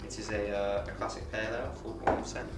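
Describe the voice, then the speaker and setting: A young man trades dry, teasing jokes as co-host of a casual podcast. He sounds in an echoey room, with music clearly playing behind him. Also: on the low side, level tone, fast. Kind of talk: casual chat over beer.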